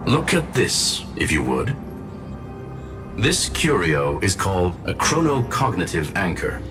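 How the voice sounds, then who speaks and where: Deep-voiced, formal robot gentleman scholar. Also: big pitch swings. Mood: grave, composed. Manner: formal, measured, precise. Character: calm, courteous, analytical gentleman robot scholar. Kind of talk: scripted game story dialogue. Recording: loud music under voice.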